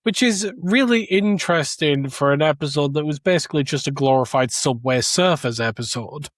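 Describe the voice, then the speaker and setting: A man co-hosting a podcast, giving casual review opinions in a measured, hesitant delivery. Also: a little high, animated, no room sound, clean studio sound. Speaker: podcast co-host.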